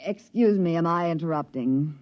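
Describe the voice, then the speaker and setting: A woman's voice: lowish voice, normal speed, lightly acted. A woman acting as a bored, decadent thrill-seeker in a 1950s radio drama, arch, coy and haughty. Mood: coy, forward. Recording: muffled vintage radio recording.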